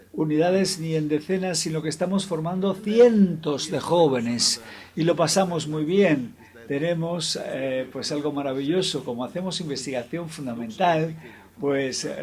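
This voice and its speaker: A man gives a formal introduction at a public lecture. His voice sits a little higher than most men's. He speaks in a steady, measured way and stops briefly on a filler now and then. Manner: measured, with occasional hesitant fillers. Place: lecture hall.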